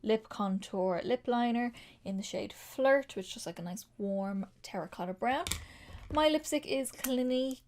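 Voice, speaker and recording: A woman's voice: a little high, moderate pace, a little mellow, slight background noise. Woman, slightly nasal voice, rambling makeup tutorial chat, lots of ums. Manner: chatty, rambling, with frequent ums and likes. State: slightly nasal from a sinus infection. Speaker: beauty vlogger.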